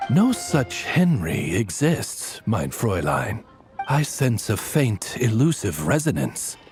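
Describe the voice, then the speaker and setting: Oz, a courtly, expressive raven servant. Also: male voice, fairly low pitch, takes it slowly, soft background music, exaggerated voice. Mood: measured, courteous. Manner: formal, deferential, butler-like. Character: courtly male raven familiar, loyal and dignified interpreter. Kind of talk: video game voice acting.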